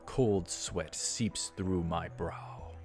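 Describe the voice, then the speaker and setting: Low-pitched man reading a story aloud. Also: extremely slow, faint music behind. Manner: stumbles over lines and corrects himself. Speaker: let's play host reading a visual novel aloud. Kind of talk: scripted narration with brief asides.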